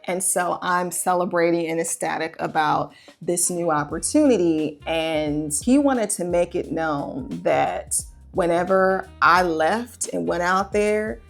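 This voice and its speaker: Low-pitched, gravelly woman telling stories. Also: slow, faint music behind. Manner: conversational, rhetorical questions to listeners. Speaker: relationship coach telling a personal story. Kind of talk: confessional storytelling to camera or listeners.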